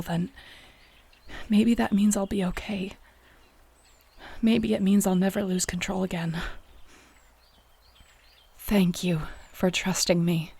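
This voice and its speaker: Casting: actress as young female shifter Alpha, earnest and vulnerable, husky with a gravelly edge, intimate audio drama. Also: medium pitch, darkish tone. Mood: shaken, earnest, then sincere, restrained. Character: young shapeshifter woman, new clan Alpha, earnest, vulnerable, tender. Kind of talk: intimate audio roleplay acting addressed to the listener.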